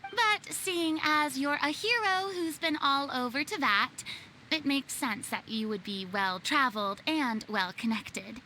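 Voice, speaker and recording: Refined, high-voiced young female celebrity. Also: lively intonation, unhurried, pushed and bright, a little hiss or hum, full character voice, poor audio. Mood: polite, formal. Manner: theatrical, formal, prone to flustered stammering. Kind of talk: English video game voice acting.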